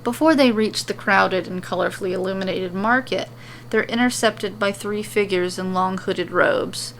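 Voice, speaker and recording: A noisy recording of a woman with a medium-pitched voice reading serial fiction aloud. She narrates as the host of the story. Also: normal speed.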